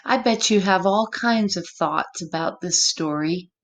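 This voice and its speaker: A woman reading a story aloud to young children, gentle and expressive, pausing to chat and ask them questions. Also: lowish voice. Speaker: children's story reader, teacher. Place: room at home.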